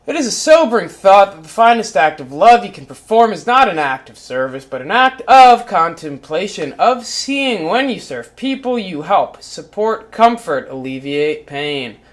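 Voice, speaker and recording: A performer voicing a short-tempered, foul-mouthed cat who reads a spiritual book aloud, going from calm, measured reading to yelled, swearing rants. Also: mid-range voice, close to an everyday voice.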